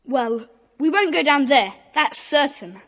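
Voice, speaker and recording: A brisk, bossy schoolboy leader in a children's audio play. The voice is high and swings freely in pitch, with a long reverberant ring around it. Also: female voice, lively intonation, fairly bright tone, full character voice. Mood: commanding, wary. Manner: commanding, brisk. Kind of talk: children's audio drama acting.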